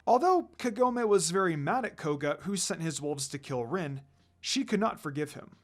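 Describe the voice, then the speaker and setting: A man reading fan fiction aloud in an expressive storytelling style. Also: a little high, normal speed, soft background music.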